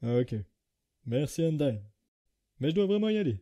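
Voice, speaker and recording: A man's voice: a little high, expressive, moderate pace, noisy background, close to an everyday voice. A man voicing a laid-back, reluctant skeleton in a comic dub, talking in a laconic, casual way. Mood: serious.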